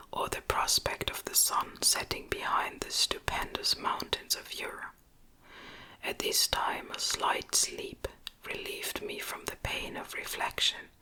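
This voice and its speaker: A narrator reading a gothic novel as a gentle, unhurried whispered ASMR audiobook. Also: poor audio.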